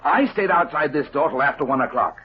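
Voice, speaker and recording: An actor playing a shrewd private detective in a 1950s radio drama, laying out a case emphatically. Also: male voice, fairly high pitch, lively intonation, brisk, breathy edge. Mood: assured, grave. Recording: muffled vintage radio recording, music behind.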